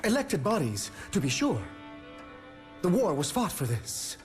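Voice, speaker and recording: An actor playing a deferential but scheming gentleman in a video game, polite, oratorical and insinuating. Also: high voice, big pitch swings, unhurried, over music. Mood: persuasive, fervent. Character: deferential, scheming male gentleman urging monarchy. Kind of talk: dramatic video game acting.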